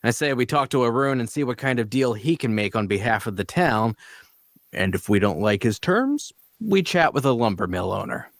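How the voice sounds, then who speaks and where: A man's voice: medium pitch, lively intonation, normal speed, no echo, clean studio sound, close to an everyday voice. A man playing a shrewd, blunt adventurer for hire in a fantasy audio drama, probing and matter-of-fact. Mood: blunt, scheming. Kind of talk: audio drama table-read dialogue.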